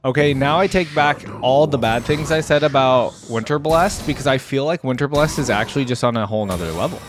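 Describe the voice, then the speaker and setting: A man's voice: medium pitch, a little fast, over music. A man giving casual, off-the-cuff reaction commentary as a video host, thinking aloud in an informal way.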